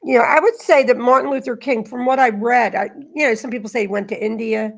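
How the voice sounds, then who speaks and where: A woman's voice: on the high side, fairly even intonation, quick pace, vocal fry. A woman hosting a spiritual study gathering, reading aloud and talking informally with the group. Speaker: host reading aloud and leading group discussion.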